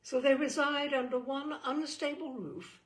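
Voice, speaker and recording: A woman's voice: high-pitched, unhurried, roomy sound, poor audio. A woman reading a humorous mock guidebook aloud in an arch, theatrical, knowing manner. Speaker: storyteller reading a book aloud.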